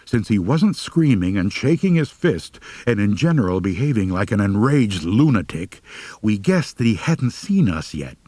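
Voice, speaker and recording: A man's voice: lowish voice, big pitch swings, moderate pace, warm tone, no room sound. A man narrating a humorous first-person story as an audiobook reader, with a wry, mock-serious delivery.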